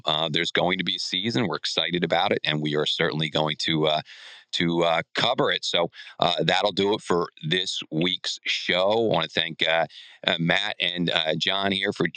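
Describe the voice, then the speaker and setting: An American man hosting a sports podcast, casual and upbeat, with a halting, colloquial delivery. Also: low voice, sharp tone, clean tone, no echo.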